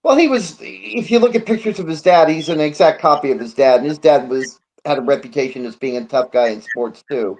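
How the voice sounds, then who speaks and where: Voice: mid-range voice, brisk, few pauses, darkish tone. A person in a casual baseball talk show discussion, agreeable and reflective, repeating points for emphasis, on a noisy recording.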